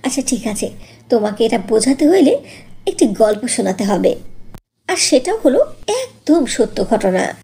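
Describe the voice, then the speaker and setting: A woman voicing a gentle, patient young mother in a cartoon, soothing as she explains. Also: fairly high pitch, big pitch swings, moderate pace, gravelly, slightly rough, heavily acted. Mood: patient, coaxing. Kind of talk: cartoon voice acting.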